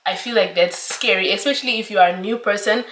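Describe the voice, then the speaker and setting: A woman in her twenties talking casually to camera in her own room, chatty and animated, laughing often. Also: mid-range voice, fast, roomy sound, recorded from a distance, rough recording. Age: 20s. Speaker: vlogger. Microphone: camera mic.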